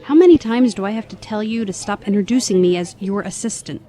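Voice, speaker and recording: A woman's voice: mid-range voice, quick pace, dark tone, faint music behind, close to an everyday voice. A woman playing a spirited, questioning young travelling companion in an audio drama. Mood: curious, uneasy.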